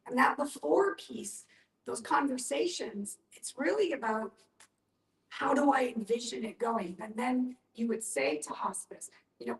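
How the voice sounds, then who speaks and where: A woman giving conversational advice in a group discussion, explaining in an emphatic, organized way. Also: fairly high pitch. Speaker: discussion participant. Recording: echoey room, distant from mic.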